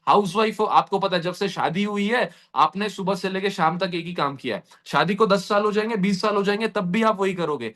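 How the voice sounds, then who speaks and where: A man giving a motivational pitch directly to his online audience, repeating points for emphasis. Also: a little fast, hardly any pauses, a bit bright. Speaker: presenter of an earning opportunity session.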